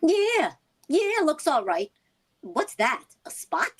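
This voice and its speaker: A woman's voice: high voice, huge pitch swings, takes it slowly, full character voice. A woman playing a concerned, persistent housewife in a vintage-style radio play, earnest and inquisitive. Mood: curious. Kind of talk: vintage radio drama acting.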